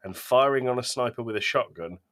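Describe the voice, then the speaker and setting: A man's voice: mid-range voice, a little fast, fairly bright tone. A man co-hosting a podcast, discussing films casually with emphatic, exasperated delivery. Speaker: podcast co-host.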